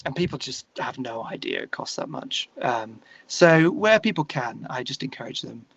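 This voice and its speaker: A man's voice: mid-range voice, expressive, normal speed, vocal fry, a bit bright, husky, dry recording, muffled recording. A British man talking earnestly and discursively as a musician guest in a casual interview, often restarting his phrases.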